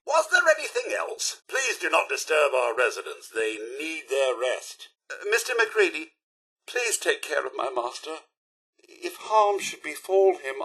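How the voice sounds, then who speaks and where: A loyal robot butler from a video game with a British accent. The voice is bright and slightly husky, swooping theatrically across a wide range. He speaks with fussy, deferential politeness and formal diction. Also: high-pitched, heavily acted. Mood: courteous, official, then sternly proper. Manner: formal, deferential, fussily courteous. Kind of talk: video game character voice lines.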